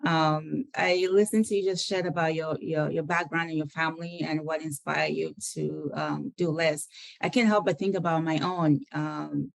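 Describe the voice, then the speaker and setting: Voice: medium pitch, steady tone, moderate pace, vocal fry, dry recording, poor audio. A podcast host from Ghana, speaking conversationally and often restarting phrases. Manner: warm-up remarks with frequent restarts. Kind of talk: conversational interview.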